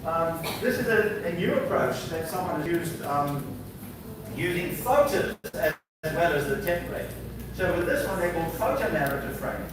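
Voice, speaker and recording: A man's voice: a little high, expressive, moderate pace. Echoey, distant man lecturing in a hall. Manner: explaining slides, reads prompts aloud, many fillers. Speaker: visiting professor. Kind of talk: university lecture. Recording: very echoey hall, distant mic, background noise.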